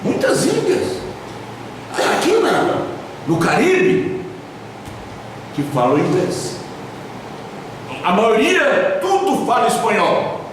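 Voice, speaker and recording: A Brazilian pastor with a southern Brazilian accent preaching a sermon in a church hall, with sudden loud emphasis and slowly drawn-out stresses. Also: male voice, very high voice, huge pitch swings, noisy background. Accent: southern Brazilian Portuguese. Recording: echoey church hall from far off.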